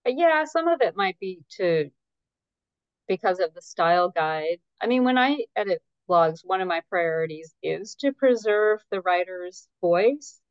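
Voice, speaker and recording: A woman, an editor guest in an interview, answering in a thoughtful, measured way with some hesitations. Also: on the low side, lively intonation, clean tone, dry recording. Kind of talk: conversational interview. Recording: dull, dry, lo-fi sound.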